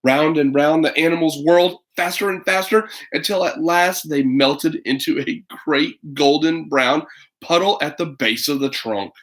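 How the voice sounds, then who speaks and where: A man reading a children's picture book aloud in an animated, dramatic way, with chatty asides to a young listener. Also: a little high, unhurried. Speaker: storybook reader.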